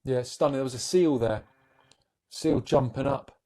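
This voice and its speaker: A man's voice: medium pitch, normal speed, a loud crowd. A man co-hosting a podcast in casual, joking banter, swearing freely. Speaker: podcast co-host.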